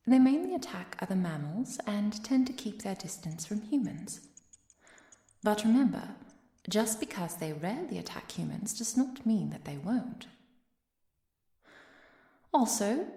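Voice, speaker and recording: A woman playing a composed, caring professor and vampire hunter in an ASMR roleplay, speaking softly and precisely, often near a whisper. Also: mid-range voice, husky, dry recording, studio quality. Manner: measured, precise, softly commanding.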